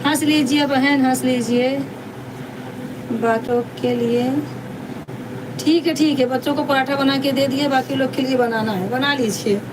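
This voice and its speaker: A woman's voice: high voice, fairly even intonation, unhurried, pauses often. A woman hosting a casual live stream, chatting repetitively with viewers and addressing them as sisters. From Deoria district, India. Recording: distant mic, noisy background.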